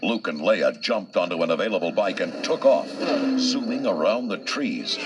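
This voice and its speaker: A man's voice: on the low side, heavy background noise. A man reading scripted narration of an adventure storybook. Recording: loud music under the voice.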